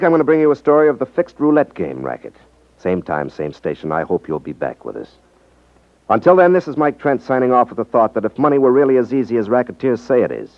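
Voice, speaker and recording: An actor playing a cool, sardonic detective-magician in a 1950s radio drama. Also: male voice, lowish voice, no echo. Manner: sardonic, unruffled. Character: cool, wry male detective-magician who exposes swindlers. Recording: dull 1950s radio broadcast recording.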